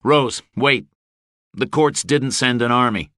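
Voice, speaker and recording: Narrator voicing a determined middle-aged father, firm, steady, slow, dry. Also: medium pitch, dry recording, voice pushed up.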